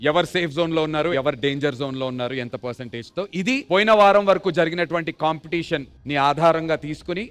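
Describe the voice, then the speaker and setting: High-pitched, fast-talking male show host. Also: clean tone. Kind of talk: live stage presenting of a dance competition. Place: TV studio stage. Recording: loud music behind the voice.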